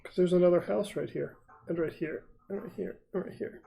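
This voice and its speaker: A man player talking through plans during a tabletop game, halting as he thinks aloud. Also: high voice, gravelly, a crowd in the background.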